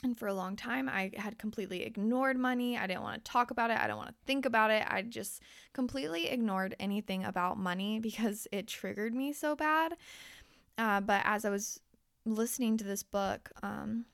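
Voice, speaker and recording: A woman's voice: on the high side, normal speed, vocal fry, a bit bright, no room sound, clean studio sound. A young woman podcast host and life coach who is losing her voice. She talks candidly and swears freely as she speaks straight to her listeners. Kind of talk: casual motivational podcast monologue.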